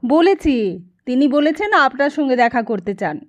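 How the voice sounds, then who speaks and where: A woman's voice: high voice, big pitch swings, normal speed. A woman voicing a polite, hesitant male household servant who speaks respectfully in an audiobook. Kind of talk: audiobook character dialogue.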